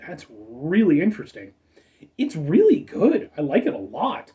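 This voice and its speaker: Stuffy-nosed guy in his 30s rambling thru a soda review, mellow voice, bouncy pitch, mic a bit far off. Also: huge pitch swings, moderate pace, highs cut off. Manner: rambling, self-correcting, chatty asides. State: stuffed-up nose. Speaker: food review show host. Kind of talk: casual product review.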